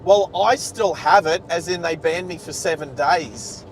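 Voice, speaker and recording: A man's voice: measured pace, rough recording. An Australian man chatting casually and ramblingly as he hosts a livestream from inside a moving car. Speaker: livestream host, driver. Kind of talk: casual chat. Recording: car rumble and road noise.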